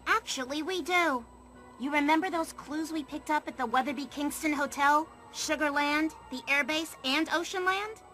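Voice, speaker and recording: A woman's voice: sits high, moderate pace, bright, forceful tone, faint music behind. A woman voicing a clever, assertive young female sleuth in a cartoon game, explaining things pointedly. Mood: urgent.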